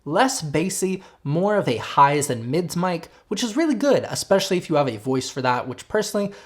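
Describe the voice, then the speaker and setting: Young male reviewer, fairly high voice, relaxed and talky, throws in playful asides. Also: moderate pace. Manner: chatty, joking asides to viewers. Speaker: tech reviewer, channel host. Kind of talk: casual product review to camera.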